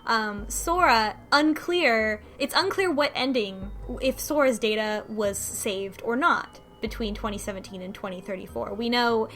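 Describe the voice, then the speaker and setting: A woman's voice: on the high side, measured pace, hardly any pauses, a bit bright. A woman casually recapping a visual novel on a podcast, with lots of ums and restarts. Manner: rambling, many ums and restarts, laughs often.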